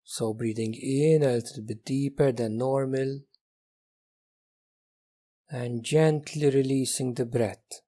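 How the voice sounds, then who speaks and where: Slow, mellow man guiding meditation. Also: mid-range voice, fairly even intonation. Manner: gentle, unhurried, with long pauses. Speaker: meditation teacher. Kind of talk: guided meditation.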